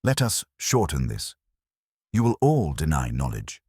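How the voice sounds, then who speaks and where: Man acting cool commanding male detective, audiobook, rumbling low pitch, lively emphasis, pompous formal phrasing. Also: unhurried, slightly rough, no echo, studio quality, full character voice.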